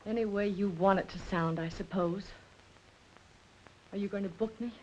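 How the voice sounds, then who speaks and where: A woman's voice: medium pitch, steady tone, normal speed, slight background noise, natural voice. An actress playing a composed, wary young woman under questioning in a 1940s crime film, cool and direct. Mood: guarded. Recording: muffled 1940s film soundtrack.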